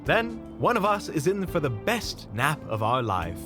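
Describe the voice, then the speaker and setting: Man playing a wry, lively monster hunter. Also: on the high side, measured pace, music in the background. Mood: wry, mock solemn. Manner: wry, teasing, explanatory. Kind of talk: audio roleplay acting.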